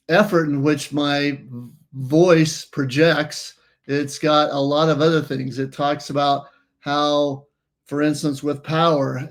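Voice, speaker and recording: A man's voice: mid-range voice, vocal fry. A man presenting a walkthrough of a wellness app, explaining step by step and often restarting phrases. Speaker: company presenter.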